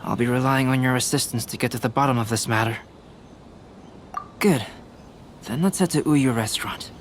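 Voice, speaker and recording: A man voicing a calm, composed young alchemist and artist in a video game, speaking in a measured, reasoning way. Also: medium pitch, a little hiss or hum, natural voice. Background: game music.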